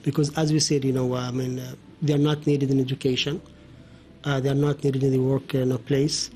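A man from Oman speaking as a linguist guest, explaining in a halting way with many hesitations. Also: mid-range voice, little pitch movement, mellow, a little hiss or hum. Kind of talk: explanatory podcast talk.

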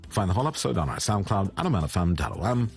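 Deep-voiced male radio announcer over music. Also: very animated, rapid-fire, creaky, breathy edge. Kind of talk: scripted promo narration.

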